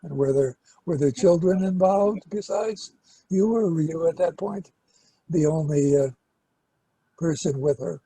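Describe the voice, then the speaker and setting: An older man hosting a casual interview, hesitant and pausing mid-sentence as he asks questions. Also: on the high side, dark tone, slightly rough, no room sound, rough recording. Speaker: interviewer and host, record producer.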